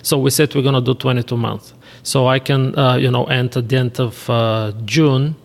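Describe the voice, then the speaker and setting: Bulgarian man, gravelly voice, reverberant room. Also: mid-range voice, fairly even intonation, moderate pace. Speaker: podcast guest, real estate investor. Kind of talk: casual interview chat.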